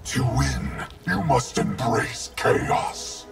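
A menacing ninja wraith, with a heavily gravelled growl and wild swings in pitch. He declaims cold, vengeful threats slowly, over loud video game music. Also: huge pitch swings, full character voice. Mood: cold anger. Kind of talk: fighting game character dialogue.

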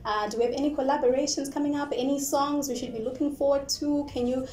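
A woman's voice: sits high, steady tone, fast, a little mellow. A young woman with a Zimbabwean accent hosts a studio interview, asking playful, upbeat questions. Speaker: talk show host. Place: indoor TV studio. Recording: roomy studio with faint noise.

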